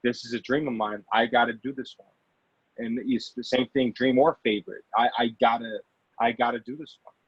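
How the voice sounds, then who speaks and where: A man being interviewed as an actor, chatting casually and haltingly, often repeating phrases for emphasis. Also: mid-range voice, steady tone, breathy edge, dry recording. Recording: dull, muffled lo-fi audio.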